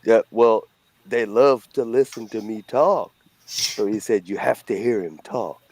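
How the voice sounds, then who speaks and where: A man's voice: on the low side, measured pace, darkish tone, slight background noise. A middle-aged man with a Cajun accent, chatting casually as a co-host in a blunt, rambling way. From Louisiana. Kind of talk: casual chat.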